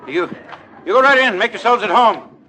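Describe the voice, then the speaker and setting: An actor playing a fussy, excitable older army colonel with a blustering manner in a 1940s radio comedy. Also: male voice, sits high, normal speed. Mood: hurried, genial. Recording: noisy 1940s radio broadcast, clicks.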